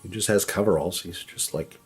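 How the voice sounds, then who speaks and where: A man's voice: low-pitched, brisk, faint music behind. A man narrating a tabletop game as game master, conversational and improvised. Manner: animated, conversational.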